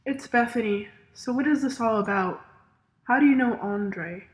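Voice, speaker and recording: A man's voice: extremely high pitch, moderate pace, reverberant, voice pushed up. A man voicing a pert, sceptical young woman with questioning, impertinent delivery in an audiobook. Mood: impertinent, curious. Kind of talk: audiobook character dialogue.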